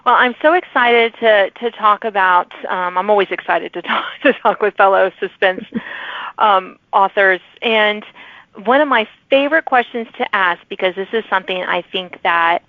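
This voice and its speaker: A woman's voice: mid-range voice, normal speed, dry recording, narrow, tinny audio. A woman hosting a podcast in casual, enthusiastic interview chat. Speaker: podcast host.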